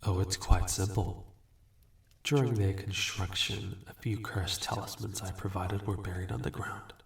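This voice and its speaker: Man as a low, smug demon dealmaker. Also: fairly even intonation. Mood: smug, businesslike. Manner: smooth, condescending, teasing, unhurried courtesy. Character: suave, amused, bargaining male demon, ageless and smug. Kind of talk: scripted fantasy audio roleplay addressed to listener. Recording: roomy echo, distant from mic.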